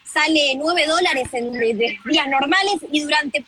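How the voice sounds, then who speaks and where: Bright high-voiced Argentine woman reporting. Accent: Argentine Spanish. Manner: brisk, informative, frequent filler "eh". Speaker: reporter covering the tournament. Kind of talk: live field report.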